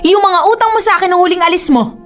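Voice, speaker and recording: Emotional young filipina girlfriend, radio drama, really high voice, reproachful, soft music under it. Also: fast. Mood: angry, hurt. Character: hurt, indignant young girlfriend pleading with her boyfriend.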